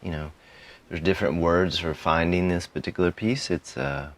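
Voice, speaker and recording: A man guiding a meditation in a calm, soothing manner, giving soft instructions in short phrases with frequent pauses. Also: sits low. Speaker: meditation guide. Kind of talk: guided meditation, softly spoken instruction.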